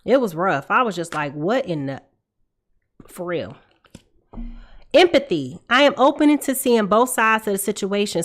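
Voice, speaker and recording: A woman in her thirties with a congested, stuffy voice, hosting a tarot livestream in a casual, confiding way, calling out to her viewers from a room at home. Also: a little high. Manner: chatty, confiding, calls out to viewers. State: congested from a cold. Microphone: desk microphone in front of her.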